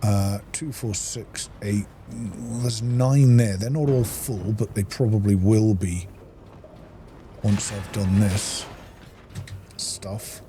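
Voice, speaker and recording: Male gaming host, lowish voice, casual self-directed muttering while playing, clearly noisy recording with ambience behind. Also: steady tone, slow pace, dark tone. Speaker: gaming let's-play host. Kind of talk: casual gameplay commentary.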